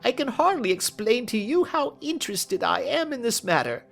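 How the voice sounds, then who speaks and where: A cringing little man in a storybook mystery. His voice leaps high and thin, cracking with nerves. He is timid and deferential, swinging widely in pitch. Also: sing-song, normal speed, vocal fry, faint music behind, full character voice. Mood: agitated, eager. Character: small, frightened, nervous male hotel attendant, cringing guilty stranger.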